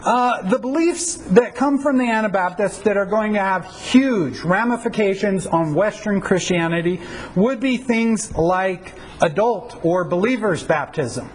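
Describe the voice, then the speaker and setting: High-voiced man lecturing a class. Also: lively intonation, measured pace, slight background noise, rough recording. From United States. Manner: conversational, asks rhetorical questions, frequent fillers. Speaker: pastor teaching a church history class.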